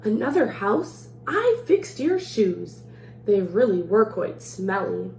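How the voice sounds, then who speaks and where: A woman plays a cocky, mischievous little leprechaun from a rhyming storybook. The voice is mellow and teasing, with a sing-song lilt, in an echoey room with faint noise. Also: mid-range voice, very animated, takes it slowly. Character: boastful, mischievous two-hundred-year-old male leprechaun trickster. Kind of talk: picture-book read-aloud in rhyme. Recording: roomy echo, faint background noise.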